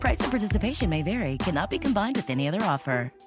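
Lively woman announcer over music. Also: mid-range voice, rapid-fire. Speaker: advertisement announcer. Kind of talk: scripted commercial read. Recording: music under the voice.

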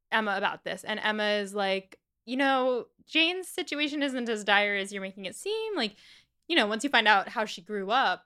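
A woman's voice: medium pitch, sharp tone, smooth. A woman co-host chatting casually as she retells a novel's plot, full of quoted asides. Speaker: podcast co-host.

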